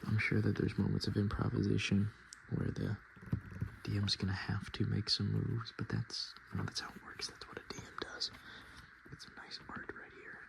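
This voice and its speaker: A man doing softspoken, whispered ASMR, reading and commenting informally in a breathy, unhurried way and correcting himself as he goes. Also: completely flat delivery, low-quality recording. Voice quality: breathy whisper mixed with low, creaky soft speech. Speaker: ASMR host browsing a tabletop game book.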